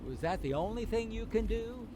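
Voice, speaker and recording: A glib teenage pal, played by a man in an old-time radio comedy, reluctant and out for himself. His voice sits high and swings around in pitch as he wriggles out of things. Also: expressive, moderate pace, background noise. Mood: evasive, glib. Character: Homer, reluctant, self-serving teenage buddy of the hero.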